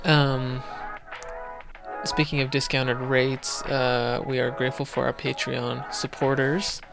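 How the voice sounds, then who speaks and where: A man co-hosting a podcast, chatting casually in an agreeable, measured way. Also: medium pitch, little pitch movement, creaky, music in the background. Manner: agreeable, brief replies, measured. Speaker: podcast co-host. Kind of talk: casual chat.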